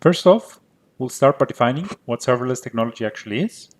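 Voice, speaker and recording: Greek Cypriot man, 30s, tech presenter; clear, methodical delivery at a middle pitch. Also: lively intonation, fast. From Cyprus. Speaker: conference presenter. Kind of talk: prepared conference talk.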